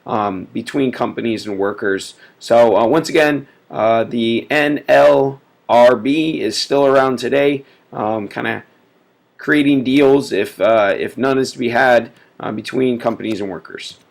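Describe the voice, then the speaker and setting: A man's voice: medium pitch, a little slow, gravelly. A man giving a casual recorded history lecture, full of filler words, sick and sniffly. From United States. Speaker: teacher.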